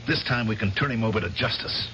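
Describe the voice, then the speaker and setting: A man's voice: mid-range voice, quick pace, noisy background, lightly acted. An actor playing a determined war veteran in a 1970s radio drama, firm and urgent in his clipped talk. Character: determined war veteran husband hunting a killer. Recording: dull 1970s radio broadcast, music behind.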